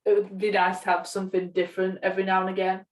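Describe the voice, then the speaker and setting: A woman's voice: medium pitch, completely flat delivery, very fast, dull audio. Woman podcasting, distant from the mic. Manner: self-deprecating, hesitant. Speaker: podcast co-host. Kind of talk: casual podcast chat.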